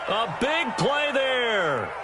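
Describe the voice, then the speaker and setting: A man's voice: very high voice, very animated, extremely slow, exaggerated voice. An American man doing play-by-play commentary on a football game in a polished broadcast delivery. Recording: loud stadium ambience behind the voice. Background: stadium game noise.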